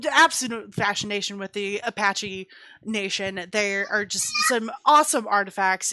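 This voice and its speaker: A woman playing an excitable, eager agent in a tabletop game, gushing and apologising politely. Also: mid-range voice, dry recording, natural voice. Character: excitable, eager young-spirited woman agent keen on sheep.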